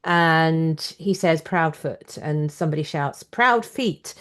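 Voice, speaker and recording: A woman's voice: low voice, slow pace, a bit bright. A woman hosting a conversational podcast interview.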